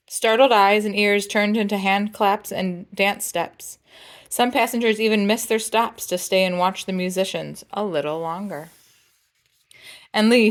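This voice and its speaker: A woman reading a picture book aloud as a storyteller, with playful, dramatic phrasing and jazzy sound effects. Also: mid-range voice.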